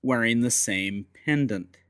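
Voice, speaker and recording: Steampunk audiobook secret agent, played by a man: menacing gentleman, deliberate, courteous, ruthless, very dry recording. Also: mid-range voice, smooth, no room sound. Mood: controlled anger. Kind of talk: dramatised audiobook acting.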